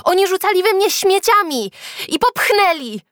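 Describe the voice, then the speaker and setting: A woman's voice: extremely high pitch, very animated, normal speed, a bit bright, dry recording, studio quality, voice pushed up, full character voice. A woman voicing a defiant, hot-tempered schoolgirl in a storybook, indignant and emphatic. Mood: desperate, indignant.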